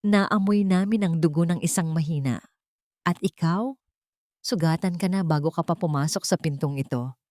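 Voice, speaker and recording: A woman's voice: on the low side, moderate pace, mellow, no echo, studio quality, natural voice. A woman voicing a cruel, cold rich young woman in an audio drama, threatening and taunting.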